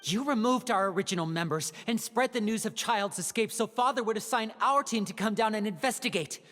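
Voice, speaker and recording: An actor playing a suspicious, protective young male magician in a video game, guarded and sharp-tongued as he levels accusations. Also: medium pitch, fairly quick, natural voice. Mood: accusing. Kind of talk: scripted game voice acting. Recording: long reverb, music underneath.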